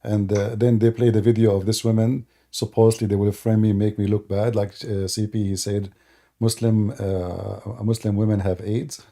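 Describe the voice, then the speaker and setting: A man's voice: lowish voice, level tone, normal speed, gravelly, mellow. A man hosting a live call-in stream, talking emphatically in non-native grammar and laughing as he mocks opponents. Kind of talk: casual live call-in chat.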